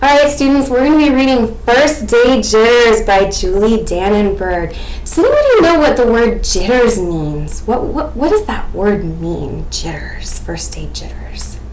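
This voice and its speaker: An American woman in her twenties giving an expressive, explanatory lesson presentation as a student teacher. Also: fairly high pitch, measured pace. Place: small indoor room at home. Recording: echoey room with faint background noise.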